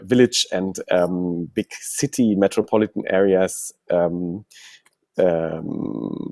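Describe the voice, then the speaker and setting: A man's voice: deep voice, dark tone, dry recording. A man moderating a forum, putting questions to panelists in a hesitant, exploratory way.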